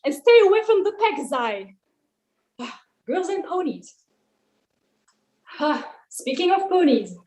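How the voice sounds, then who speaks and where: Woman playing jaded male wine god, high voice, sardonic, theatrical complaining, musical table read, noisy mic. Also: big pitch swings, unhurried, long pauses, darkish tone, exaggerated voice. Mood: weary, impatient. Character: jaded, sarcastic male wine god running a summer camp.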